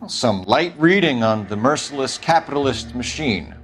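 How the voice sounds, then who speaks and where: An actor playing a terse, probing police detective with a sardonic edge in a crime drama video game. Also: male voice, mid-range voice, animated, moderate pace, music in the background, close to an everyday voice. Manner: terse questioning.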